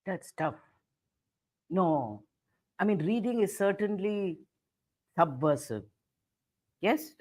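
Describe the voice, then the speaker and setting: An older woman author answering questions in an online book talk, blunt and measured as she thinks aloud. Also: on the low side, darkish tone. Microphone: video call.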